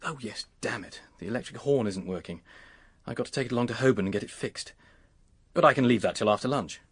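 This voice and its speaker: An actor playing an earnest, polite young British secretary who is anxious and hesitant, in a radio drama. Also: male voice, medium pitch, fast talker, breathy edge. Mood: friendly, casual. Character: earnest, flustered young English male secretary, helpful and anxious.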